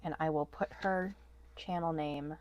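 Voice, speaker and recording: Woman, low voice, nasal from a cold, casual desk chat, lots of ums. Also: steady tone, a little slow, a little mellow, dull audio. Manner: chatty and rambling, many ums and restarts. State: stuffy nose from a cold. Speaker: vlog host. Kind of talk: casual haul vlog chat. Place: at a home desk.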